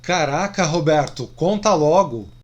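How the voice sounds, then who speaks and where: A man acts an eager, mocking drinking pal in a Brazilian radio play. The voice is on the high side for a man. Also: lively intonation, unhurried, muffled recording. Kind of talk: radio drama dialogue.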